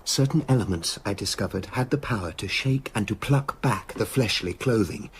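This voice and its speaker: An actor playing a tormented, self-justifying doctor in a radio drama, speaking formally and intensely. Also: male voice, fairly low pitch, normal speed, husky. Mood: feverish recollection.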